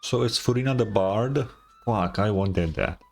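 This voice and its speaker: A man's voice: lowish voice, a little slow, vocal fry. A man doing casual live reaction commentary as a game streamer, blunt and sarcastic. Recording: game music behind the voice.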